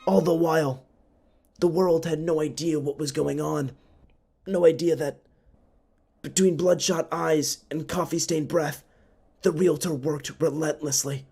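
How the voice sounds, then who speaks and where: A man with a somewhat high-pitched voice tells a scripted story as a narrator. His delivery is theatrical and solemn, with a literary feel. Violin music plays faintly underneath. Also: steady tone, unhurried, creaky, pauses often, mellow, breathy edge. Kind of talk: scripted dramatic storytelling for a puppet show.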